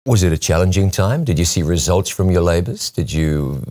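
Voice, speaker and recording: A man's voice: low voice, expressive, moderate pace, a little mellow. A middle-aged man hosting a broadcast interview in a studio, speaking into a lapel mic. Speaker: talk show host and interviewer. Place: television studio, seated at a desk.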